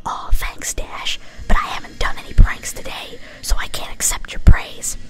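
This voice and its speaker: A woman's voice: moderate pace, lightly acted. A woman voicing a giggly, murderous female party pony in a whispered storybook reading, sweetly chatty and casually menacing. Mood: cheerful menace.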